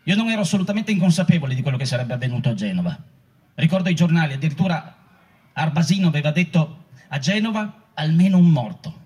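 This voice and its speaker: Husky, high-voiced ex-protester. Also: male voice, animated, fast talker, darkish tone. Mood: grave, remembering. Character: male former protester recalling arrest and chaos. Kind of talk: dramatic reading of oral history testimony.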